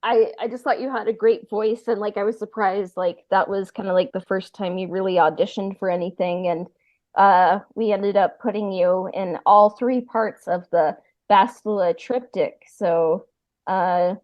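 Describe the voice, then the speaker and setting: A woman's voice: medium pitch, muffled recording. A woman co-hosting a podcast in casual, hesitant talk peppered with "like" and "you know". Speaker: podcast co-host. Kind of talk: casual chat.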